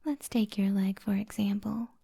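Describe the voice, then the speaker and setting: A woman playing a kind, flirty witch healer in a soft-spoken, half-whispered ASMR roleplay, slow, soothing and gently teasing. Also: medium pitch, steady tone, vocal fry, slightly rough. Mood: gentle, wise.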